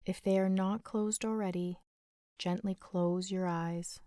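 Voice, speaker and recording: A woman leading a guided sleep meditation in a gentle, soothing, unhurried manner with long pauses. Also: mid-range voice, fairly even intonation, dry recording, studio quality.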